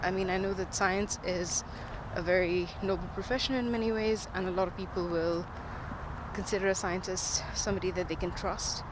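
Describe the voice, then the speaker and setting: Earnest speaker over loud traffic noise. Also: medium pitch, steady tone, normal speed. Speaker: diabetes researcher and podcaster. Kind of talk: informal spoken monologue, explaining.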